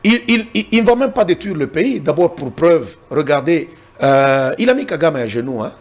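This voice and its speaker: Male guest from Congo, emphatic debater with a husky, animated voice. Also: fairly high pitch, normal speed. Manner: emphatic, repeats words when pressed, cites the Bible. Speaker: interview guest. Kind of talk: heated political debate.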